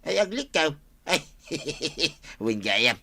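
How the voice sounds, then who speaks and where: An actor playing a boisterous, tipsy village husband in an Ilocano comedy radio drama, with an Ilocano accent. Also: male voice, fairly high pitch, very animated, extremely slow, vocal fry, sharp tone, slightly rough, full character voice.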